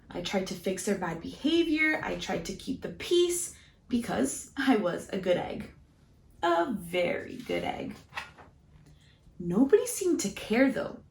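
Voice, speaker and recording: Storybook good egg, played by a woman: earnest, anxious, very animated delivery, roomy sound. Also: on the high side, full character voice.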